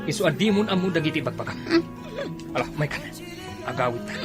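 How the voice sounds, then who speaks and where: An actor playing a stern young husband, scolding and indignant, in an Ilocano radio drama. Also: male voice, fairly high pitch, animated, brisk, over loud music, lightly acted. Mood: stern, annoyed.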